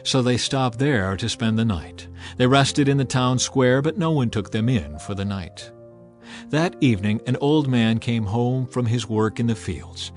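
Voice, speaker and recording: A man narrates a dramatized scripture audiobook at a middling male pitch. His storytelling is measured and dramatic, swelling for emphasis, and music plays beneath him. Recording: music bed under the voice.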